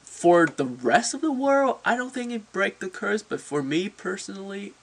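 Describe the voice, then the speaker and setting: A man's voice: high voice, expressive, normal speed. A man giving a casual, opinionated movie review as a channel host, talking informally and often repeating words as he searches for phrasing.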